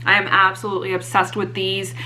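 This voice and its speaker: A young woman vlogger, slightly hoarse, chatting casually and teasing herself about her purchases. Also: medium pitch, completely flat delivery, quick pace, bright, forceful tone, a little hiss or hum. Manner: chatty, self-mocking, many fillers. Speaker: fashion vlogger. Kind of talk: casual haul chat to camera.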